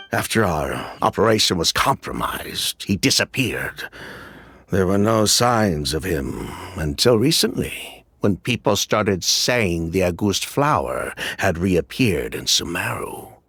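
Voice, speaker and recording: An actor playing a smooth, evasive middle-aged former perfume swindler in a video game. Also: male voice, fairly low pitch, sing-song, a little slow, a bit bright, exaggerated voice. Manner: evasive, chatty, with dismissive laughs.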